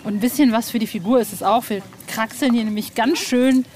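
A woman's voice: on the high side, fairly even intonation, a little fast. A woman chatting casually as a reporter on location outdoors. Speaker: podcast reporter. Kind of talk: casual on-site reportage chat. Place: outdoors at a castle ruin. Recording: outdoor field recording with clear noise and clicks.

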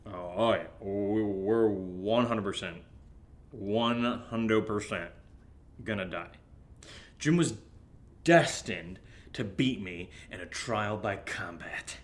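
A man plays a blasé, hungover housemate in an audio drama. His voice sits fairly low, and he rambles hesitantly with fatalistic, offhand humor. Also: slow. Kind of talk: naturalistic audio drama dialogue.

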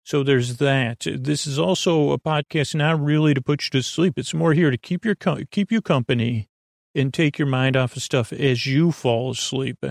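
A man hosting a bedtime podcast, rambling in a halting, self-deprecating way, often stammering and repeating himself as he wanders off on tangents. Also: mid-range voice, creaky, dry recording, clean studio sound. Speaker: podcast host.

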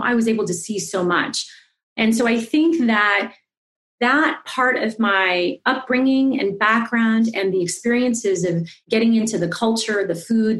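Effusive woman telling her life story. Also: medium pitch. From Singapore. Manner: effusive, animated storyteller with many restarts. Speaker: guest. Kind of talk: interview, personal storytelling.

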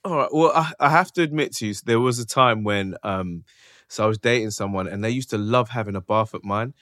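A man's voice: lowish voice, a little fast, a bit bright, no echo, clean studio sound. A young man hosting a dating podcast, teasing his guest and laughing often. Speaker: podcast host. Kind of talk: casual chat.

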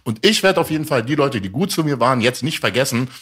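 A man's voice: mid-range voice, expressive, fast talker, a bit bright, husky. A young man chatting casually and candidly, with a streetwise way of talking. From half Italian, half Iranian, raised in Germany. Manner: streetwise, candid, often says "weißt du". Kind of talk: casual chat.

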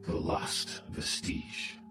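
An actor playing a menacing otherworldly cult voice that intones grandly about doom and rebirth in a horror audio drama. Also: male voice. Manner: slow, ominous, grandiose intoning. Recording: voice under loud music.

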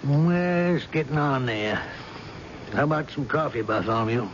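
An actor playing a gruff, sardonic police chief who presses a suspect in a radio drama. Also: male voice, medium pitch, animated, moderate pace, close to an everyday voice. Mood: businesslike. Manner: insistent, sardonic, folksy interrogator. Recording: dull, noisy old radio recording.

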